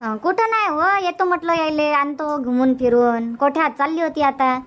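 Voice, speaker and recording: A woman's voice: high voice, normal speed, a bit bright. A woman voicing an older, indulgent but bossy village grandmother in a cartoon comedy, repetitive, with a Varhadi Marathi accent. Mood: chatty.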